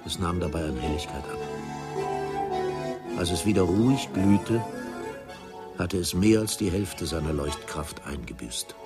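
A man's voice: low voice, expressive, measured pace, long pauses. A man narrating a literary radio drama in a formal, solemn manner. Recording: music behind the voice.

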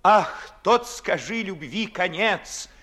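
An eloquent, mocking freethinker in vintage radio theatre. His bright voice sweeps widely up and down as he declaims verse with ardent, oratorical phrasing. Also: high-pitched, lively intonation, slow, full character voice. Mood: pensive, uneasy. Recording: 1950s Soviet radio play recording.

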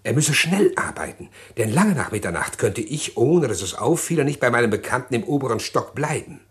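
A man narrating a radio crime drama in the first person, measured and reflective. His voice sits mid-range with an animated, varied pitch.

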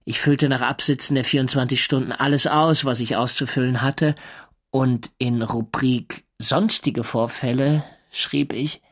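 Measured, wry sailor-diarist. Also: male voice, medium pitch, fairly even intonation. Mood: weary, observant. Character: diarist, male navy sailor recounting wartime service. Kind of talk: literary first-person narration in a radio drama.

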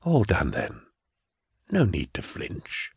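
Man playing a soft, oily, ageless prefect. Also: very deep voice, wildly swooping pitch, no echo, studio quality, voice pushed down, full character voice. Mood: falsely kind. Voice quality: soft, breathy, whispery. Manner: measured, unctuous, condescending. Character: smooth, ageless, falsely kindly male prefect. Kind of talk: audiobook character acting.

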